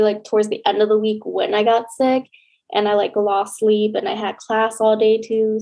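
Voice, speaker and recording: Mellow, slightly stuffy young woman. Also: fairly high pitch, vocal fry. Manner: chatty, lots of "like". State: stuffy from a cold. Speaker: podcast co-host. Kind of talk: casual podcast chat.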